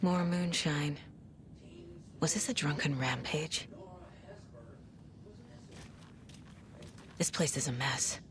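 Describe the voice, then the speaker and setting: A woman playing a level-headed investigator in a game, measured and questioning. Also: low-pitched. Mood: pensive. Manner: measured, questioning, muttering to herself. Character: focused, level-headed adult female investigator.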